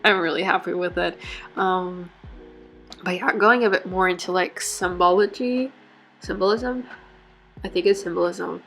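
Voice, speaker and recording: Casual vlogger, woman with a cold, English as a second language, lowish voice, light music bed. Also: unhurried, long pauses, fairly bright tone. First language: not English. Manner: chatty, rambling, lots of fillers and self-corrections. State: has a cold. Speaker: bullet journal vlogger. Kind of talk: casual chat.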